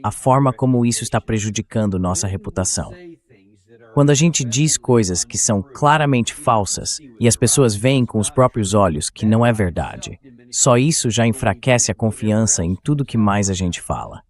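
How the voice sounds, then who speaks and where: A man's voice: lowish voice, expressive, normal speed. A man hosting a political talk show, giving commentary as a translated voice-over.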